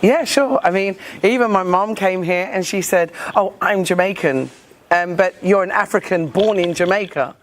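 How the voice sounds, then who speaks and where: A woman's voice: fairly low pitch, moderate pace, a little hiss or hum. A woman from England, interviewed in casual chat, speaking emphatically, repeating her points and laughing readily.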